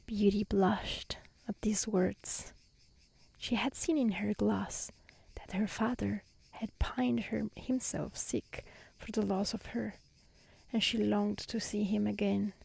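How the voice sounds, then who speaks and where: A woman reading a fairy tale aloud as soft-spoken ASMR, calm, gentle and soothing. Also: medium pitch, takes it slowly, gravelly, husky. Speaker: storyteller. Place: outdoors in nature.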